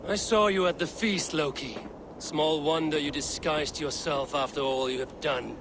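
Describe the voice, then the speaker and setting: A man acting in a video game as a cunning, smooth-tongued god in disguise, speaking in measured, formal, archaic phrases. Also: a little high, steady tone, background noise, natural voice. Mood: defiant.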